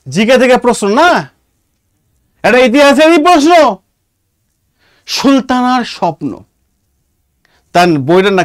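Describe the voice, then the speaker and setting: A man's voice: very high-pitched, a bit bright, clean tone, no room sound, clean studio sound. A man with a Bengali accent teaching an online exam-prep class, talking informally to students and repeating points emphatically as if dictating.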